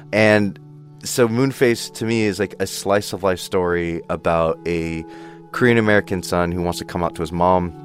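A man in his thirties chatting casually as an interview guest, a bit bashful and peppering his speech with "like". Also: deep voice. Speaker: podcast producer, interview guest. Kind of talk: casual chat.

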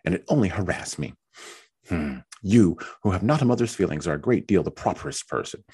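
A man's voice: sits low, expressive, fairly quick, dark tone, breathy edge, pitched above the performer's own voice, full character voice. A man voicing a fretful, self-pitying young mother who whines and complains in a raised voice. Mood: eager. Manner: complaining, whiny and petulant.

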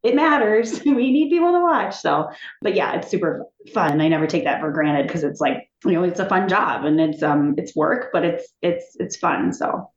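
A woman talking as a guest in a casual interview, animated and chatty, often laughing and restarting her sentences. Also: lowish voice, moderate pace. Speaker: TV executive, podcast guest. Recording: echoey room, dull sound.

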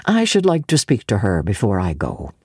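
A woman voicing a kindly gentleman apothecary in a storybook, gentle, musing and questioning. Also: rumbling low, wildly swooping pitch, normal speed, slightly rough, no echo, clean studio sound, voice pushed down, full character voice. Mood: polite. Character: kindly, probing middle-aged gentleman apothecary.

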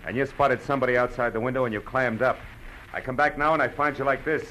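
An American actor playing a tough, wry private detective in a 1940s-style radio drama, with clipped, sardonic, slangy delivery. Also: male voice, mid-range voice, fairly even intonation, fast talker, sharp tone, lightly acted. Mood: hard, pressing. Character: tough, wry male private detective narrating his case. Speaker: radio actor, lead and narrator. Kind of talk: hard-boiled 1940s radio drama acting. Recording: noisy vintage radio recording.

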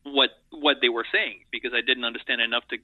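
A man's voice: mid-range voice, steady tone, quick pace, no echo. Man on a tinny phone-like line. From Europe and Africa. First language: French. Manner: earnest, reflective, thinks aloud in long sentences. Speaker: guest, animation editor. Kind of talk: casual interview talk.

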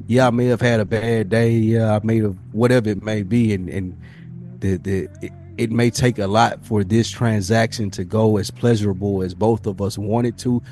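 A man's voice: fairly low pitch, warm tone. A man with an American accent in casual podcast discussion as co-host, slangy and repeating his phrases.

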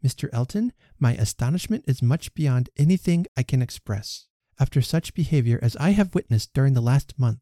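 A man reading the part of a proud young gentlewoman who firmly rejects a suitor, speaking crisply and emphatically. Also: medium pitch, expressive, normal speed, no room sound, clean studio sound, close to an everyday voice. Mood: cold reproach. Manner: crisp, emphatic, rising protest.

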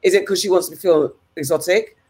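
A woman's voice: fairly low pitch, steady tone, fairly quick, darkish tone, slightly rough. Puzzled woman firing off questions. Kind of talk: casual panel chat.

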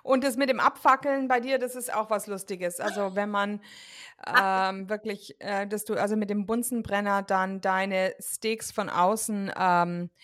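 A woman's voice: medium pitch, steady tone, unhurried, few pauses, a bit bright. A woman hosting a podcast interview, speaking in a friendly, encouraging way with frequent filler sounds. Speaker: podcast host. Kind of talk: casual interview chat.

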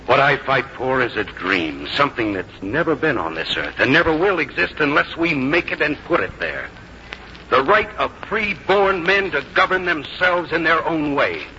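An actor playing a grave, resolute army commander, speaking in an earnest, oratorical way in 1950s radio drama style. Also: male voice, a little high, animated, moderate pace, pushed and bright. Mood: impassioned, grave. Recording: muffled, noisy 1950s radio recording.

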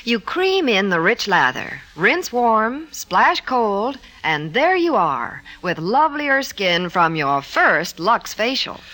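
Casting: female American vintage radio reporter, crisp bright tone, lively pitch, perky scripted patter. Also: slow, hardly any pauses. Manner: polished, perky.

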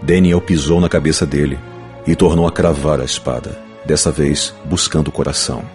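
A man's voice: rumbling low, steady tone, a little fast, darkish tone. A man narrating a fantasy novel in a dramatic, scripted audiobook style. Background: music.

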